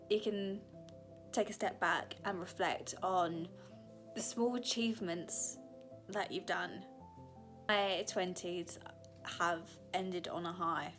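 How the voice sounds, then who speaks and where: A woman in her thirties giving a candid, chatty reflective vlog, sometimes breaking into excited laughter. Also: medium pitch. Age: 30s. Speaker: vlogger.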